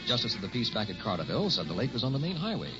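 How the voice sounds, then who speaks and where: A man's voice: lowish voice, big pitch swings, very fast, music in the background. An actor playing a cheerful newlywed husband who teases and reassures his wife, in 1960s radio-drama style. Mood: easygoing. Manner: easygoing, teasing. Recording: muffled old radio recording.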